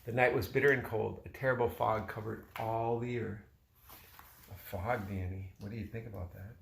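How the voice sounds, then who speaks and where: A man's voice: on the low side, gravelly, slight background noise. A man reading a children's story aloud expressively, like a father sharing it with his child. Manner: expressive, pausing to chat with the child. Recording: roomy, echoey home recording.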